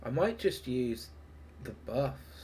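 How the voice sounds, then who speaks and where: Slow-talking man with a stuffy cold. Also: mid-range voice, expressive, warm tone, slight background noise. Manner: chatty, laughs often, mutters to himself between battle calls. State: congested from a heavy cold. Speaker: gaming streamer. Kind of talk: live gameplay commentary.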